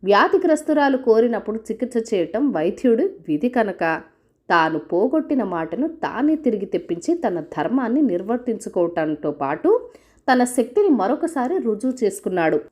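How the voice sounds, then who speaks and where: A woman's voice: a little high, very animated, normal speed, a little mellow. A woman reading a scripted folk tale as a storyteller.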